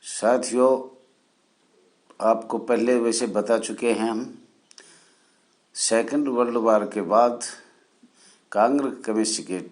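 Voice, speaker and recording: A man from India hosting a channel, explaining history in a deliberate, halting way with short phrases and formal honorifics. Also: mid-range voice, steady tone. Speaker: channel host. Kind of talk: spoken history explainer for viewers.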